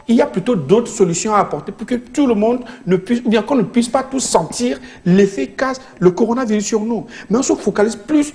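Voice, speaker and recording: A man's voice: high voice, dark tone. A man, a studio panel guest, making his case with growing animation. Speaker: talk show guest.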